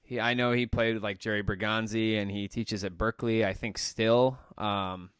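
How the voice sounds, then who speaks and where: A man's voice: fairly low pitch, steady tone, moderate pace, a bit bright, clear, resonant tone, dry recording. A man in his thirties from Connecticut, a drummer and podcast guest, chatting casually with dry joking asides. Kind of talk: casual chat. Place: home studio. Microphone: mic on a music stand.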